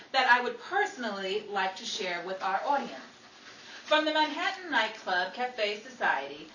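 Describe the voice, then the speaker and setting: A woman's voice: a little high, bright, forceful tone. A woman playing a showy 1940s Hollywood radio newscaster, reading scripted trivia in a theatrical style. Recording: roomy, distant mic with faint noise.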